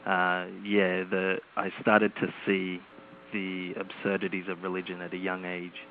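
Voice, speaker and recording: A man from Australia, calmly answering as an author being interviewed. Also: low voice, unhurried. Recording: phone line with faint noise.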